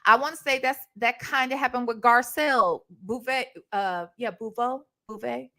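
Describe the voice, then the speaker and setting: Casting: middle-aged American woman, livestream storyteller, higher-pitched voice, sassy and emphatic, conversational delivery. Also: normal speed. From Ohio, United States. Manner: animated, emphatic, sassy, conversational with tag questions. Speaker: livestream host. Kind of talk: casual storytime chat.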